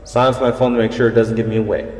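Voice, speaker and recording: A man's voice: medium pitch, little pitch movement, very fast, close to an everyday voice. A man playing a thrill-seeking secret superhero who narrates in first person in an audio drama. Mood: worried, hushed. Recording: echoey reverb under background music.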